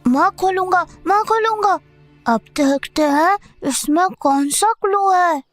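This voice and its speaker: A performer playing an excited little boy in a Hindi horror audio drama, eager and insistent. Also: extremely high pitch, unhurried, faint music behind, full character voice. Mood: thrilled, curious. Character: excited, pleading little boy on a treasure hunt.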